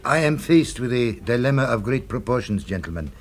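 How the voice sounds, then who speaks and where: An actor playing a suave, formal restaurateur in a 1970s radio drama, with measured, ingratiating speech. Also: male voice, medium pitch, expressive, close to an everyday voice. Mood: courteous, smooth. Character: suave, courteous male restaurateur, commanding with staff.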